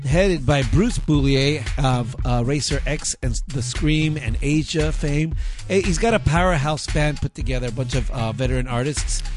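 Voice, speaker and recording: A man co-hosting a casual talk show from a garage, bantering and announcing with theatrical, drawn-out hype. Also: medium pitch. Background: loud music.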